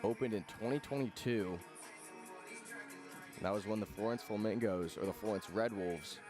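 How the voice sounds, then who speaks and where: A man giving live radio play-by-play commentary for a baseball game as the announcer. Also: lowish voice.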